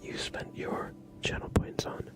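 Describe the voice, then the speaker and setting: Slow whispering man, ASMR style. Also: over music. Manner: whispered, soft-spoken, unhurried. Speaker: ASMR livestream host. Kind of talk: ASMR, casual stream chat. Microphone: close, sensitive microphone.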